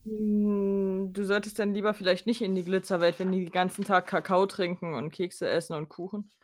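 A woman's voice: medium pitch, flat delivery, normal speed, darkish tone. A woman co-hosting a casual podcast chat, chipping in with short joking remarks. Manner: joking, brief interjections. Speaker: podcast co-host.

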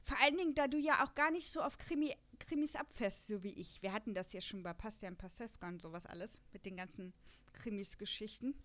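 A young woman hosting a casual podcast, chatting in a lively way and laughing often. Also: medium pitch, fast talker. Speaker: podcast host. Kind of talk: casual chat.

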